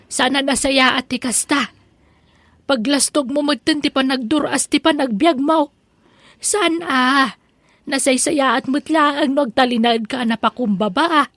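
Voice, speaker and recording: An actress plays a humble Ilocano village mother who scolds and advises. Her voice is high, and the sound is dry and close, as in a comedy radio play. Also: a little slow, fairly bright tone, no room sound. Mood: chiding. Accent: Filipino, Ilocano. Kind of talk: comedic radio drama acting.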